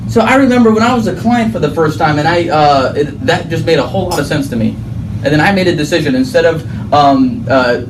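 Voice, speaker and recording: Guy from arabia, arabic first language, pitching finance stuff to a group, emphatic and chatty, mic far away w clear bg noise. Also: lively intonation, fairly quick. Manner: emphatic and conversational, with frequent check-in tags. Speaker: financial services presenter and recruiter. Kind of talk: informal sales presentation to a group.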